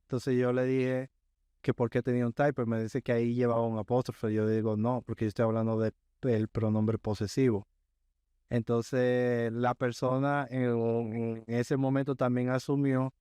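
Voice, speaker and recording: A man who is a guest on a podcast, telling a work story in a measured way and often checking in with his listener. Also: mid-range voice, level tone, mellow, smooth, no echo, studio quality. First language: Spanish. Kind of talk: casual interview chat.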